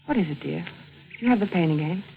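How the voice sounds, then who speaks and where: A woman's voice: lowish voice, expressive, fairly quick, creaky, over music. A woman playing a young newlywed wife, affectionate, spirited and anxious, in 1940s radio drama style. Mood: fond, concerned. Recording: noisy muffled vintage radio recording.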